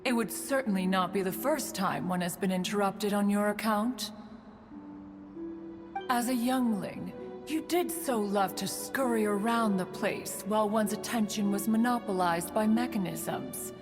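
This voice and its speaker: Game casting: actress as proud immortal female sage, archaic formal diction, unhurried, in a reverberant space with music behind. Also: medium pitch, lightly acted. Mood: teasing, imperious. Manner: formal, archaic, refers to self as "one". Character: stately immortal female adeptus, proud, fussy, fondly meddling. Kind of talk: video game character acting.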